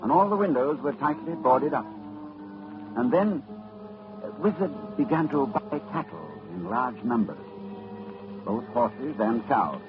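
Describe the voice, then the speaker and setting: A man's voice: mid-range voice, animated, a little slow, pauses often, lightly acted. A man as a grave, dramatic radio narrator. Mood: solemn. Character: learned librarian narrator, grave, middle-aged man. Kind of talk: 1940s radio acting. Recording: dull old radio broadcast with music.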